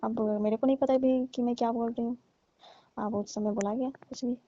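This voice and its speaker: A woman with a fairly high yet very mellow voice talks on the phone in a detached, dismissive way. Her delivery is casual, and the call recording is muffled and lo-fi. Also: fairly even intonation, normal speed, no room sound. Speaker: call partner breaking off a relationship.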